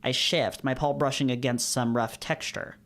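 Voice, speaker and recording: A man's voice: medium pitch, fairly even intonation, moderate pace, fairly bright tone. A man hosting a visual novel read-through, moving between narration and casual chat. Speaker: host of visual novel readings.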